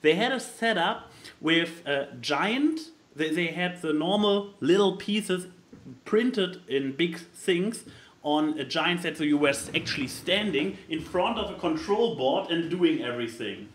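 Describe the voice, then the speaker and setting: Man, non-native English, higher pitch, halting and repetitive, casual co-host chat. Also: expressive, fairly bright tone. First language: not English. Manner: halting, stammering search for words. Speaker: podcast co-host. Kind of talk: casual chat explaining a board game.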